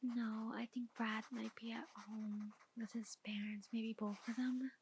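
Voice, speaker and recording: A woman playing a flustered, defensive mother of a missing daughter, speaking softly and hesitantly in an ASMR roleplay. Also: fairly high pitch, level tone, measured pace, a little hiss or hum. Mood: hesitant, apologetic. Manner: hesitant, emotional, rambling excuses. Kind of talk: ASMR roleplay, soft-spoken.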